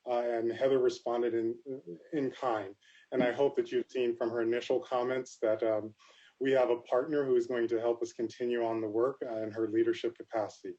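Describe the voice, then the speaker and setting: A man chairing a formal commission meeting over a video call, speaking in a measured way with frequent fillers. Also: medium pitch, level tone, warm tone. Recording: dull lo-fi video call audio.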